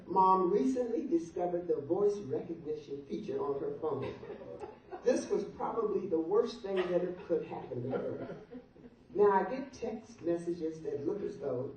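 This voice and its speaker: A woman's voice: on the low side, lively intonation, unhurried, a little mellow. An older woman reading a humorous letter aloud to guests at a party. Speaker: guest reader at a birthday party. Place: large echoey room at a birthday party. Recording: muffled, very echoey room, distant mic, noise.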